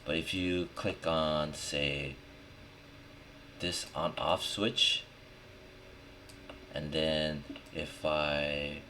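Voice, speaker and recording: Male tutorial instructor, extremely low voice, unhurried and informal; noisy recording with keyboard clicks. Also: bright, forceful tone. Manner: informal, thinking aloud, checking in with "right?". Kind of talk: casual screen-recorded software tutorial, talking while working. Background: background noise, typing and clicking.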